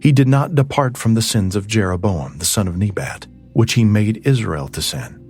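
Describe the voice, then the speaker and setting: Man, low voice, expressive intonation, scripted Bible narration, solemn and measured, soft ambient music behind. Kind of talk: scripted audiobook Bible reading.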